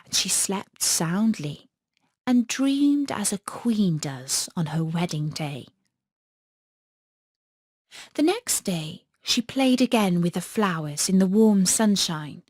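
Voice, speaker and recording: A woman narrating a children's fairy tale as an audiobook, in an expressive storytelling manner. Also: mid-range voice, slow, no echo, studio quality.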